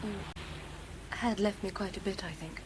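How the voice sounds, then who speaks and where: A woman's voice: medium pitch, steady tone, normal speed, noisy background, lightly acted. An English actress playing a devoted, anxious and self-deprecating girlfriend in a radio drama. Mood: contrite, tired.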